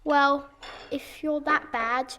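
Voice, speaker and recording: A woman's voice: high-pitched, fairly even intonation, slow pace, background noise. A woman playing a bossy, streetwise workhouse child in an audio drama, blunt and goading. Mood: goading, hushed.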